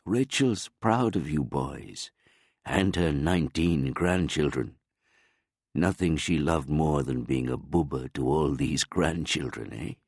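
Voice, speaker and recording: A gentle, grieving old grandfather, as a man reads him, speaking slowly and softly in a very deep voice. He sounds fatherly and reassuring in this storybook reading. The sound is dry and close. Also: husky, no echo, heavily acted.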